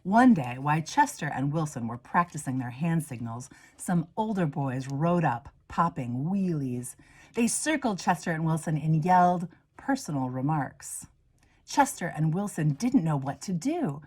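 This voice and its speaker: A woman reads a picture book to children in a fairly low voice with a husky edge. Her pitch moves widely and expressively, dropping into hushed asides and rising again for emphasis. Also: sing-song, a little slow. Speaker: reader, minister. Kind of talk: children's storybook read-aloud.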